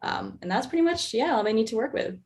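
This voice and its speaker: A woman's voice: on the high side, lively intonation, quick pace, darkish tone. Friendly woman moderating a Q&A. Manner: friendly, calls on people by name. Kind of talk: hosting a panel Q&A.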